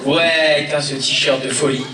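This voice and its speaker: A man's voice: a little high, steady tone, a little slow, over loud music. A man, a comedian, presenting a film and joking and teasing with the audience at a premiere. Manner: teasing, playful, addresses the crowd directly. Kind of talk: joking live address to a crowd. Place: large cinema auditorium. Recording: echoey hall, distant, loud crowd.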